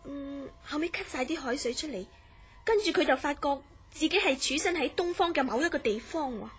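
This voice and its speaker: An actress with a Hong Kong Cantonese accent playing an earnest woman who recounts a friend's eerie dreams in a radio drama, in measured, serious storytelling. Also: sits high, lightly acted. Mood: grave recounting.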